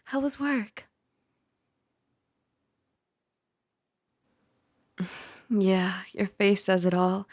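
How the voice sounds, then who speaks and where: Soft-spoken caring dragon girl roommate, played by a woman, close and dry. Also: mid-range voice, takes it slowly, dry recording. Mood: gentle, caring. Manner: gentle, playful, conversational, with sighs and hushed asides. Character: caring, teasing, mildly scolding young dragon girl roommate. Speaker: ASMR roleplay voice actor. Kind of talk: soft-spoken ASMR roleplay acting. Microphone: close microphone.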